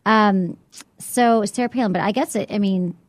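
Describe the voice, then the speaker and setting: A woman's voice: mid-range voice, moderate pace, no room sound. Chatty giggly woman co-host, dry close sound. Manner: chatty, giggly, trails off mid-sentence. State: slightly husky from a cigarette. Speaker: podcast co-host. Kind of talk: casual chat.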